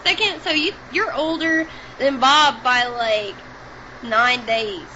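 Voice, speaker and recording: A young woman in her twenties on a casual podcast, giggling often and stumbling as she reads aloud. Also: high-pitched. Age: 20s. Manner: giggly, reads haltingly with frequent laughs. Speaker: podcast co-host. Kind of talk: casual chat, reading aloud. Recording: dull lo-fi podcast recording with faint noise.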